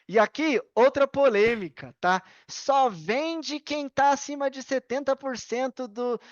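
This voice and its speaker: A woman's voice: mid-range voice, dry recording. Emphatic Brazilian woman, dry dull recording. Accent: Brazilian Portuguese. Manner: emphatic, opinionated, repeats key points, poses questions to self. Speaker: podcast guest, affiliate marketer. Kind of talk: casual podcast talk, explaining with examples.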